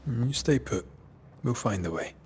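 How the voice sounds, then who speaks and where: An actor playing a kindly, reassuring ghost hunter of colonial times in a video game. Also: male voice, medium pitch, expressive, normal speed, darkish tone, a little hiss or hum, close to an everyday voice. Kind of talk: dramatic video game acting.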